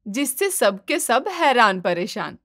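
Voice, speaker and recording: A woman's voice: on the high side, big pitch swings, slow, fairly bright tone, no room sound, clean studio sound. A woman reading scripted narration for a storybook-style moral tale.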